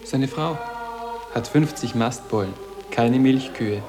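A man's voice: mid-range voice, takes it slowly, music in the background. A man playing a defiant, aloof teenage boarding school boy, terse and matter-of-fact, in a radio drama. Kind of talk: German literary radio drama. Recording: long hall reverb.

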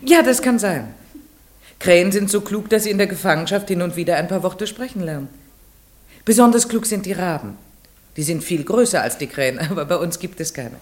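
An actress playing a village schoolteacher in a German radio play, strict but patient, questioning and shifting between command and soft coaxing. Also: female voice, on the low side, brisk, lightly acted. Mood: attentive, explaining.

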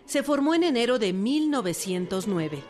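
A woman's voice: on the high side, sing-song, normal speed, darkish tone, soft background music. A woman with a Mexican accent giving scripted historical narration in a radio drama.

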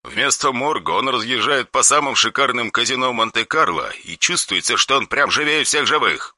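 A cold, menacing caller on the other end of a phone, as a male narrator puts him on, speaking quietly in a hard, dry, flat voice. He never raises it and clips every line short. The recording is close and dry, with no room sound. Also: medium pitch, normal speed, no echo, pitched above the performer's own voice. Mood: cold menace.

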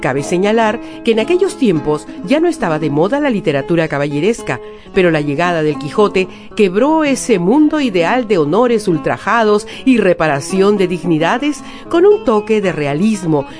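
Lively woman narrator over guitar music. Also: mid-range voice, moderate pace. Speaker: presenter. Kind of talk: scripted informative radio narration.

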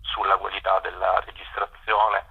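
Man, low pitch, hesitant interviewee, searching for words, dull lo-fi phone-in sound. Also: flat delivery, normal speed, husky. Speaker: radio interview guest from a community radio station. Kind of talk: unscripted interview answers.

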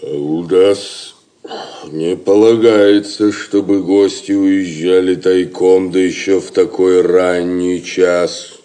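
A man's voice: sits low, little pitch movement, takes it slowly, mellow. A man playing a sullen, suspicious swineherd in an audio drama, grudging in manner.

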